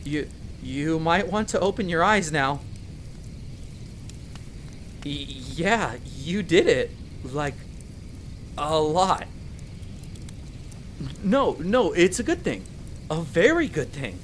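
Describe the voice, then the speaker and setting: A performer voicing a young male elf explorer in a roleplay audio drama, patiently and gently coaching the listener as a magic teacher. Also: mid-range voice, sing-song, takes it slowly, long pauses, slight background noise. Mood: amazed, excited. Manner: gentle, reassuring, coaching step by step.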